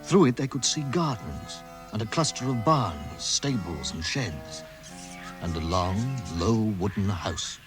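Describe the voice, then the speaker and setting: A man narrating a storybook radio drama in a measured, theatrical manner. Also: fairly low pitch, very animated. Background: music.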